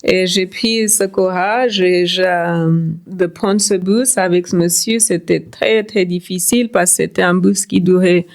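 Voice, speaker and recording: A woman's voice: on the low side, fairly even intonation, measured pace. A middle-aged woman from Cape Verde whose first language is Creole gives a personal testimony as a podcast guest, repeating words and phrases for emphasis.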